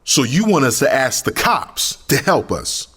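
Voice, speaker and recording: A man's voice: medium pitch, very animated, measured pace, a bit bright, husky, full character voice. An actor playing a proud, combative male militant in an audio drama, challenging and declamatory. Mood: scornful. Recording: long reverberant hall sound.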